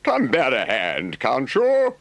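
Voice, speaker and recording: A man's voice: medium pitch, wildly swooping pitch, takes it slowly, fairly bright tone, no echo, voice pushed up, exaggerated voice. A man voicing a hot-tempered but practical male water rat, indignant and then firm. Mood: impatient. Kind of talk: audiobook character voice.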